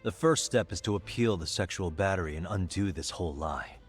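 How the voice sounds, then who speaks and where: A calm, determined detective questions a prisoner with steady, pressing insistence. His voice sits deep and low, and a faint music bed runs under the game scene. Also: fairly quick, husky. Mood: stern. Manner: pressing, steady interrogating delivery. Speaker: detective in cutscene. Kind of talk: game voice acting, dub.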